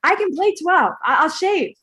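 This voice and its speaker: A woman's voice: very high-pitched, big pitch swings, moderate pace, sharp tone, full character voice. A woman playing a vain, laid-back young male movie star, hesitant and defensive, in a comedy table read. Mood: flustered.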